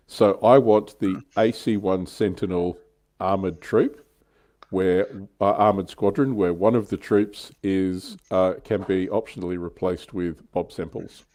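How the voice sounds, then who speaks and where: A man's voice: fairly low pitch, a little mellow. An Australian man chatting casually and irreverently as co-host of a hobby livestream.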